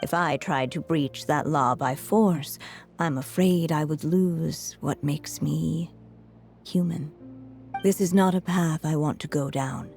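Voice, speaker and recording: A woman playing a frail but resolute elderly writer in a video game, measured and reflective, with a breathy voice that weakens into whispers. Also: sits low, a little mellow. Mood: solemn resolve. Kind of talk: dramatic video game voice acting. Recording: music under the voice.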